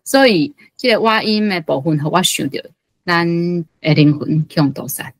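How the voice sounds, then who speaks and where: A woman's voice: low voice, expressive, moderate pace, no echo. A woman speaking as a guest commentator, sharing informal reflections in a conversational way. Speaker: guest commentator, book club member.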